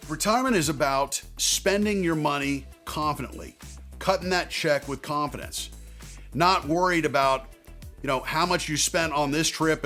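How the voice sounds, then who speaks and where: A man with a slightly high voice talks directly to the viewer as a financial advisor hosting his own video. His delivery is conversational yet emphatic, with deliberately placed phrases. Faint music plays behind him. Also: fairly bright tone. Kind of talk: direct-to-viewer advice talk.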